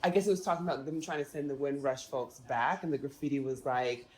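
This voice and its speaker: An American woman chatting curiously in a museum, soft-spoken and often trailing off. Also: a bass voice, fast talker. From United States. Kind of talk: casual conversation. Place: busy museum exhibit hall.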